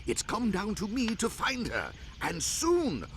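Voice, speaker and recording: A man's voice: sits high, huge pitch swings, a little slow, a bit bright, a little hiss or hum, heavily acted. A man playing a hearty, effusive British hunting guide as dialogue in a video game. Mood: chatty, earnest. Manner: effusive, exclamatory, old-fashioned chummy phrasing.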